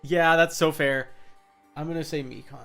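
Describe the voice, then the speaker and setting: A man's voice: on the high side, steady tone, moderate pace. A man chatting casually as he streams a game, informal and musing aloud. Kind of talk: casual gaming chat, commentary. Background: game music.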